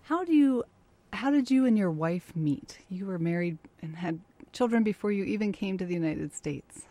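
Mellow-voiced woman interviewer. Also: medium pitch, animated, normal speed. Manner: warm, encouraging questioner who echoes answers back. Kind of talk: conversational interview.

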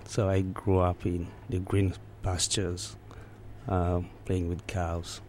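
A man's voice: deep voice, little pitch movement, slow, a little mellow, a little hiss or hum. A man from Eastern Congo with a Central African accent, recounting personal memories as an interview guest.

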